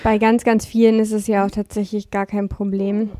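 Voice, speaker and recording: A woman's voice: medium pitch, little pitch movement, moderate pace, mellow, no echo. A young woman co-hosting a podcast in casual chat, talking a little thickly after having her wisdom teeth out and laughing often. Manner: chatty, laughs often, self-deprecating. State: slightly swollen cheeks after wisdom tooth removal. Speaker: podcast co-host.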